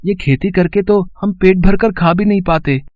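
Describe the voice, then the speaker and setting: A man's voice: sits high, normal speed, no room sound, dull audio, close to an everyday voice. A man voicing an innocent young son in a cartoon story, childlike, earnest and curious. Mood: pleading. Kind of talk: cartoon moral story acting.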